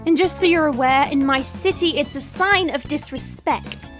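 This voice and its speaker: A woman's voice: sits high, normal speed, soft background music, close to an everyday voice. A woman playing a proud, guarded dragon princess in human form, speaking in a formal, imperious way in an audio fantasy drama. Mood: stern, commanding.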